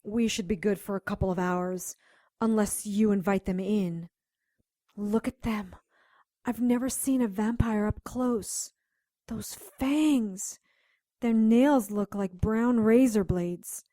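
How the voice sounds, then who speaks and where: A woman playing a sharp-tongued spellcaster sidekick in an audio drama, wry and practical. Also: mid-range voice, slow pace, no room sound, studio quality, natural voice. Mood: matter-of-fact.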